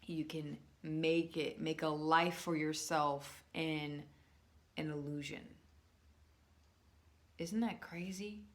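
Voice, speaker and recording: A woman talking to her viewers in a casual, rambling monologue, slowing down to stress words and restarting her sentences often. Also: sits low, steady tone. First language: English. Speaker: vlogger.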